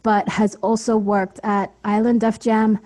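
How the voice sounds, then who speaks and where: Mellow-voiced woman moderating a panel. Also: mid-range voice, flat delivery, unhurried. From Philippines, raised in Australia. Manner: casual, hesitant with many ums, introduces and hands off to guests. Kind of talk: online panel discussion.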